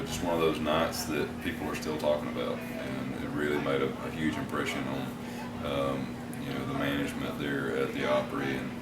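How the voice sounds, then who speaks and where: Deep-voiced singer answering backstage questions thoughtfully; very low voice, recorded from a distance in an echoey room with loud venue noise. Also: male voice. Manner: measured and hesitant, frequent fillers. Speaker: country singer being interviewed.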